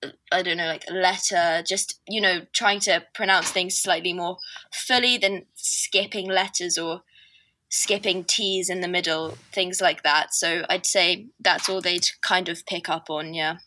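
A woman's voice: fairly low pitch, steady tone, measured pace, bright, forceful tone, slight background noise, low-quality recording. A woman from southwest England with a near-standard southern English accent, chatting as an interview guest with lots of fillers and hedges. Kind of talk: casual interview chat.

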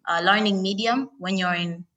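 A woman's voice: mid-range voice, normal speed, fairly bright tone. A young woman from Tanzania with a Tanzanian accent, answering interview questions casually with many "uh" and "like" fillers. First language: Swahili. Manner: hesitant, lots of "uh" and "like" fillers. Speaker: guest.

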